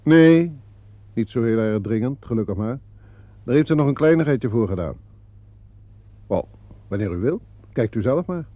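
A man's voice: mid-range voice, lively intonation, moderate pace, long pauses, natural voice. An actor playing a commanding, exasperated theatre man in a radio play, brusque and authoritative. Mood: businesslike. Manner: brusque, authoritative, takes charge. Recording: dull, muffled old radio play recording.

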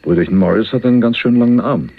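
An actor playing a laid-back, sceptical drifter and jailbird in a crime radio play, gravelly and husky, speaking with teasing, sardonic understatement. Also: male voice, medium pitch, quick pace. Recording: muffled phone-like narrowband radio recording.

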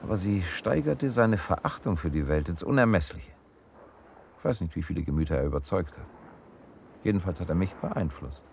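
An actor playing a reflective, world-weary wanderer in a radio drama, measured and reserved. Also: male voice, sits low, animated, vocal fry, a little mellow, a little hiss or hum. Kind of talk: German radio drama acting.

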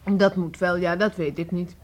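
A woman's voice: lowish voice, steady tone, moderate pace, slight background noise, close to an everyday voice. A woman playing a fussy, dutiful, slightly put-upon housekeeper in a children's radio play, polite and chatty. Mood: miffed.

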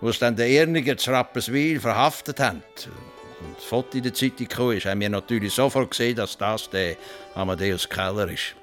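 An actor in a radio play voicing a plain-spoken, stubborn old farmer with a Swiss German accent and rustic dialect turns. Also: male voice, medium pitch, fairly quick, a bit bright, soft background music, lightly acted. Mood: chatty, satisfied. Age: older.

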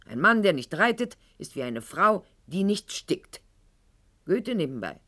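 An actress playing a proud, wounded middle-aged aristocratic lady in a radio play monologue, articulate and ironic, with weighted pauses. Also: on the low side, big pitch swings, pushed and bright, exaggerated voice. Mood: haughty, animated.

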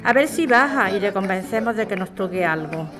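A woman's voice: mid-range voice, expressive, a little fast, music in the background, close to an everyday voice. A woman playing a hopeful, reassuring grown daughter in an audio drama.

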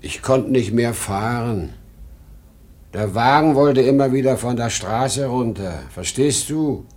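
A man's voice: mid-range voice, slow pace, darkish tone, lightly acted. An actor playing an exhausted, rambling salesman past sixty, proud and erratic, in a vintage German radio drama. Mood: exhausted, agitated. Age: 60s. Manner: weary, rambling, given to boastful outbursts. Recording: noisy vintage 1950 radio recording.

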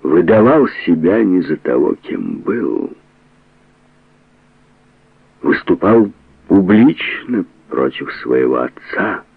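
A man voicing a grave older man who solemnly explains painful family secrets in an audiobook, measured and emphatic. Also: deep voice, big pitch swings, creaky, breathy edge, dry recording, phone-quality sound, exaggerated voice.

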